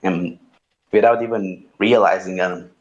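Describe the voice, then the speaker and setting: Young male guest from Italy, native Italian, low voice, very slow and terse. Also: fairly even intonation, highs cut off. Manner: short, unhurried answers with long pauses. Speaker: rapper, interview guest.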